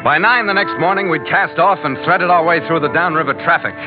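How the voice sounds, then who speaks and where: An actor playing a wry, rugged sea captain in an old radio adventure, with clipped hard-boiled delivery. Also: male voice, a little high, fairly quick, close to an everyday voice. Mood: vivid narration. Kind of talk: 1940s radio adventure acting and narration. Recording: muffled old radio recording with music.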